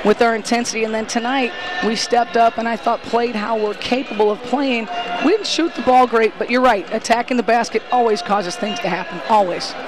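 A woman's voice: fairly high pitch, a little fast, crowd noise. A middle-aged woman basketball coach giving a postgame interview on a headset mic in an empty gym, talking emphatically and earnestly with frequent restarts. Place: empty college gym after a game.

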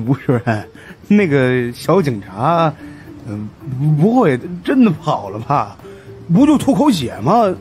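A man's voice: a little high, big pitch swings, slow pace, a little mellow, pitched above the performer's own voice. A man voicing a cheeky, fawning fat sidekick in an audiobook, stammering and obsequious. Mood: cheeky, curious. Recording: music under the voice.

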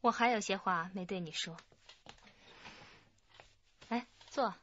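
A woman's voice: mid-range voice, takes it slowly, fairly bright tone, highs cut off, slight background noise. An actress playing a firm, probing female police detective in a radio drama. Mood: stern, probing.

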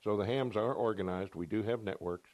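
Older man, very low voice, dry close sound, plain technical interview answers. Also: fairly quick, a little mellow, no echo. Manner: plain, matter-of-fact, technical. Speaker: ham radio operator interviewee. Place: home radio room, then parked truck. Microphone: reporter's handheld mic.

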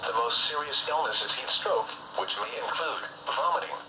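A man's voice: a little high, normal speed. A man reading a scripted emergency weather bulletin as a radio announcer, in a formal, clipped, automated-sounding way. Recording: noisy weather radio filmed off speaker.

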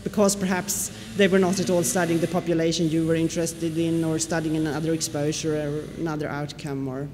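A woman whose first language is Swedish, giving a conference lecture and often pausing on fillers. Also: deep voice, flat delivery. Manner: explanatory, with frequent fillers and questions to the audience. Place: lecture hall. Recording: reverberant hall with faint noise.

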